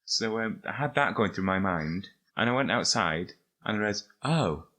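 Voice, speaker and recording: Low-voiced man telling anecdotes. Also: expressive, normal speed, pushed and bright. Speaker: podcast participant.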